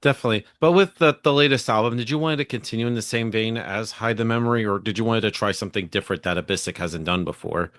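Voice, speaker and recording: American male interview host. Lowish voice, informal hesitant phrasing, very dry close sound. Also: quick pace, few pauses, a bit bright, no echo. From southern United States. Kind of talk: casual interview chat.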